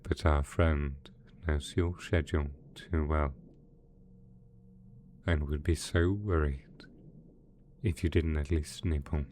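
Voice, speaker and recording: Man acting a low-voiced, doting supervillain boss. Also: expressive, a little slow, pauses often, darkish tone, slight background noise. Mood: coaxing, sinister. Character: doting, possessive male mad scientist supervillain boss. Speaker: voice actor in audio roleplay. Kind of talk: ASMR roleplay acting.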